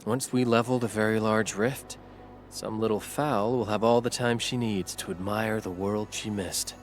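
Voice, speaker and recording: An actor playing a calm, gently encouraging male companion in a game, with a softly probing manner. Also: on the low side, moderate pace, slight background noise, close to an everyday voice. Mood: gentle, inquiring. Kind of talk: scripted game voice acting.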